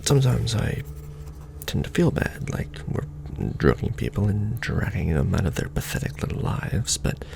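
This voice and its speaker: A man playing a casually menacing secret-society recruiter in an ASMR roleplay, with a breathy, mostly whispered, gravelly voice and sardonic, conspiratorial patter, close on the microphone. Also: on the low side, very animated, normal speed, noisy background, full character voice. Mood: chummy, sardonic. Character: casually menacing Illuminati recruiter onboarding a new initiate.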